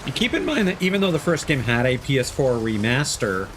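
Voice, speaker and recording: A man's voice: mid-range voice, sing-song, brisk, slight background noise. A man doing casual live game commentary, rambling and swearing as he muses aloud. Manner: rambling, profane, musing aloud. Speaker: game streamer. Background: game music.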